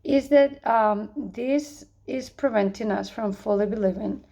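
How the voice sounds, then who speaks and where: A young woman from Spain with a Spanish accent, reading a prepared answer for a course in an earnest, measured way, with a few hesitant restarts. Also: on the high side, warm tone. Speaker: student.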